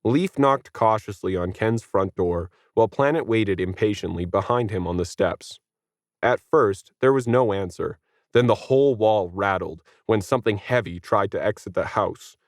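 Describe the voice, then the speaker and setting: Male narrator for short fiction, lower-pitched voice, close dry sound. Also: moderate pace, no room sound. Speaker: story narrator.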